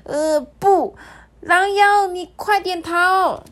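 A woman voicing a young, stubborn, kind-hearted fox fairy in a high, loud, pleading voice. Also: unhurried. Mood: desperate.

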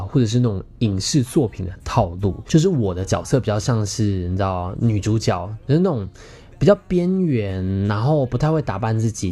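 Bright-voiced young Taiwanese man telling stories. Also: medium pitch. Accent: Taiwanese Mandarin. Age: young adult. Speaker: podcast host. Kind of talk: chatty personal storytelling.

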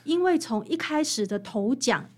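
High-voiced Taiwanese woman explaining. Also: lively intonation, moderate pace. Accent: Taiwanese Mandarin. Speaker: guest author interviewed about her book. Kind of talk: conversational explanatory interview.

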